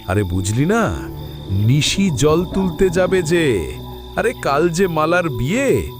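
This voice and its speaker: A man plays a furtive village gang leader in a horror cartoon. He speaks at a crawl with wide swings of pitch, conspiratorial and wary, over loud music and night insects. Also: sing-song, slow. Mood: sly urging.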